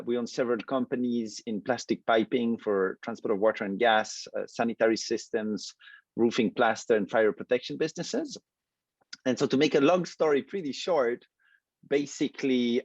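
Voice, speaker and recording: Brief: mid-pitched male guest from Belgium, casual, dry dull call audio. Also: no room sound. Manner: casual, peppered with you know and right. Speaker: CEO and co-founder. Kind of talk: webinar interview. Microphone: video call.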